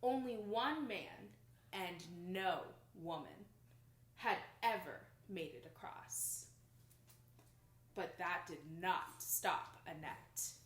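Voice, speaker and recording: A young woman reads a children's picture book aloud with expressive, dramatic emphasis, recorded in a small room indoors. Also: lowish voice, very slow. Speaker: storybook reader. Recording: roomy home recording.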